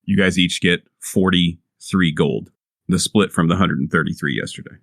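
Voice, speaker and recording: A man's voice: low voice, normal speed, creaky, a bit bright, dry recording, studio quality. A man playing his character in a tabletop game, talking bluntly and casually with some swearing. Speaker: player.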